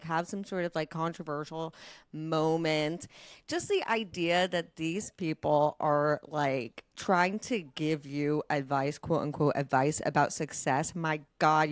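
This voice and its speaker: Lowish woman podcaster going off, emphatic and indignant, swears a lot, dry studio. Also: no echo. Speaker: podcast co-host. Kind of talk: opinionated casual podcast rant.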